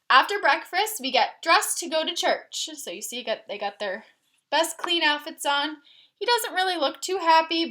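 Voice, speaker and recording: A woman's voice: a little high, pushed and bright. A woman reading a picture book aloud to children, adding simple explanations and questions for the listeners like a teacher. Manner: instructive, asking listeners questions. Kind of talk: storybook read-aloud with explanatory asides.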